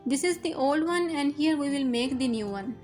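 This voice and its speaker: A woman's voice: high voice, lively intonation, normal speed, a little mellow, faint music behind. A young woman whose first language is Urdu, patiently talking through a sewing machine fix step by step as host of her tutorial channel. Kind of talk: instructional voice-over.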